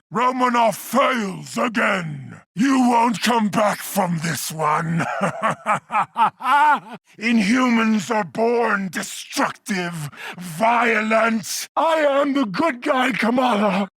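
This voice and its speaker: Slow, grandiose supervillain mastermind. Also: male voice, sits high, fairly bright tone, slightly rough, dry recording, full character voice. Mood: raging, triumphant. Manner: grandiose, deliberate, theatrical delivery. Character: male mutated mastermind villain, bitter, grandiose, righteous, menacing. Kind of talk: video game villain voice acting.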